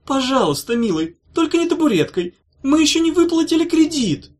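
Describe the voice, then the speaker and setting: A man's voice: extremely high pitch, very animated, a little fast, pitched above the performer's own voice. A man voicing a stubborn mocking witch woman. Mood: sweetly scornful.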